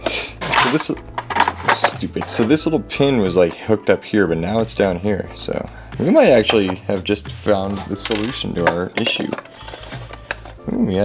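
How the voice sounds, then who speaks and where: A man narrating a hands-on repair vlog casually from his garage, with a jokey, sarcastic manner and a habit of talking to objects. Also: low voice. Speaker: host of a hobby repair video. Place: home garage.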